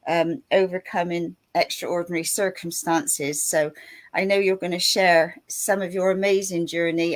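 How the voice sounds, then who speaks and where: A middle-aged woman hosting an interview show, bubbly and chatty, often laughing. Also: lowish voice. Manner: bubbly, laughing, warm greetings. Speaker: host. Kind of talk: casual interview talk.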